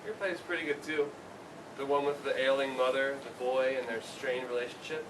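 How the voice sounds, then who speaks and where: A man playing a laid-back, reserved student in an amateur stage play reading, low-key and terse. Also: steady tone, a little fast, lightly acted. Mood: appreciative. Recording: distant mic, clearly noisy live room.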